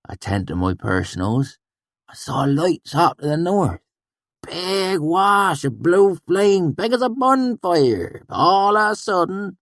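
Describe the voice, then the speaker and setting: A man's voice: high voice, animated, takes it slowly, fairly bright tone, dry recording, clean studio sound, pitched above the performer's own voice, exaggerated voice. A man voicing an irritable old rustic swineherd with blustery, countrified speech. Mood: spooked.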